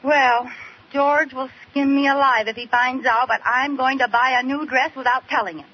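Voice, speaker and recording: High-pitched scheming radio sitcom housewife played by an actress. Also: female voice, steady tone, moderate pace, lightly acted. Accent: American. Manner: theatrical, exaggerated pleading. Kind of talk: 1940s-50s radio comedy acting. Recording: muffled, noisy old radio recording.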